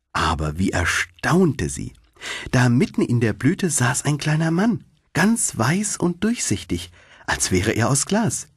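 A man narrating a fairy tale in an expressive storytelling manner, as for an audiobook. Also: medium pitch, slightly rough, dry recording, studio quality.

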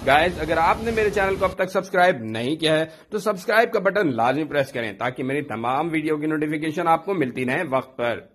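A man's voice: a little high, animated, brisk, few pauses, a bit bright, slightly rough. A man hosting a casual cooking video in an outdoor restaurant kitchen, repeating each step back in plain terms. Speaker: cooking channel host. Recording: noisy outdoor street kitchen. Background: street noise and traffic.

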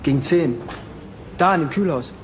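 An actor playing a plain-spoken warehouse worker questioned as a witness in a crime drama. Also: male voice, on the high side, moderate pace, a little hiss or hum, lightly acted. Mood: uneasy witness. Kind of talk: crime drama acting in German.

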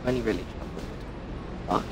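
A young man talks through a blocked, stuffy nose, rambling casually as he drives a game train. His delivery is offhand and chatty, with plenty of loose asides. There is clear noise and the rumble of game train audio behind him. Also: quick pace. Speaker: game streamer. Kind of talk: casual gameplay commentary.

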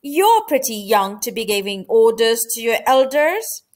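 Lady doing a fussy bossy uncle mouse, storybook, admonishing, slow with big pitch swings. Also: very animated, darkish tone. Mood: bossy, objecting. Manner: emphatic, admonishing. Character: gruff, cautious elder uncle mouse, bossy and ominous.

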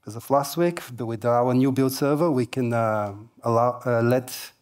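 A man's voice: medium pitch, moderate pace, darkish tone. A man in his forties giving a tech conference keynote on a large stage, speaking casually with many fillers and light humor through a headset mic.

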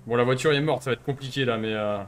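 A man's voice: medium pitch, fast. A young man streaming a role-play game on a headset, swearing and mocking other players in casual commentary.

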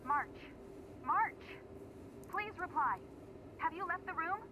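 A woman's voice: very high-pitched, animated, takes it slowly, full character voice. A woman voicing a composed but urgent adult leader over a phone in an anime game. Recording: dull phone audio with noise.

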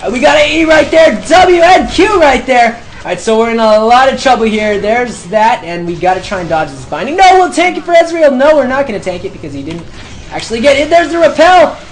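A young man giving excited live gameplay commentary, shouting during fights and talking himself through moves, his voice going hoarse. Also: extremely high pitch, a little fast. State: losing his voice.

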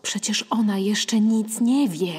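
An actress playing a bossy, secretive imaginary little sister in a radio play, childlike and insistent. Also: female voice, medium pitch, slow, darkish tone, breathy edge. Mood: scolding.

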